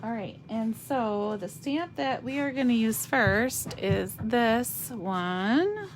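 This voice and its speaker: A woman with a slightly raspy voice giving a chatty live craft demonstration, thinking aloud as she stamps and colors. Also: fairly high pitch, expressive, slight background noise. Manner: slow, chatty, thinks aloud while working.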